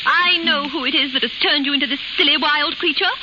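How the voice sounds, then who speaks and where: Very high, fluttery actress as maiden aunt. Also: female voice, brisk, lightly acted. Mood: distressed. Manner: fluttery, anxious, genteel. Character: fretful, gentle, genteel maiden aunt. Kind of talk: 1930s radio play acting. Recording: scratchy muffled 1930s radio recording, music behind.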